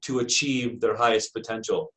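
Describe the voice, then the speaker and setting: An American man lecturing to a class as a university instructor, in a halting way padded with frequent filler phrases. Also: medium pitch, steady tone, fairly bright tone, rough recording. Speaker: university lecturer in special education.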